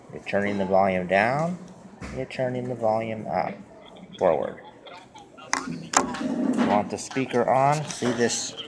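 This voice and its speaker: A man explaining something step by step in plain, halting, repetitive short phrases, like a simple tutorial. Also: on the low side. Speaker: tutorial presenter. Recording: noisy handheld phone recording with clicks.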